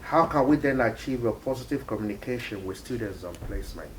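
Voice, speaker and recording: A man asking a question at a student Q&A, speaking quietly and haltingly with many uhs. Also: medium pitch, lively intonation. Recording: noisy background.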